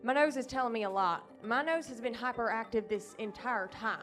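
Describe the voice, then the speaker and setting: A woman's voice: on the high side, a little fast, fairly bright tone, faint music behind, lightly acted. A woman playing an overly earnest bounty hunter. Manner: earnest, rambling, overly serious. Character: earnest lone-wolf female bounty hunter.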